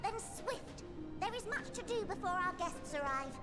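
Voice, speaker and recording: A woman voicing a chipper, bossy little moon bunny who gives brisk, theatrical orders in a video game, with music behind. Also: extremely high pitch, lively intonation, bright, forceful tone, full character voice. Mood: rousing, urgent. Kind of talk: video game character acting.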